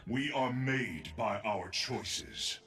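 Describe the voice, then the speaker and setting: An actor playing a proud, imperious ascended desert emperor in a video game, declaiming in a regal, theatrical way. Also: male voice, mid-range voice, lively intonation, very slow, bright, forceful tone, breathy edge, soft background music, exaggerated voice. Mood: solemn, grandiose.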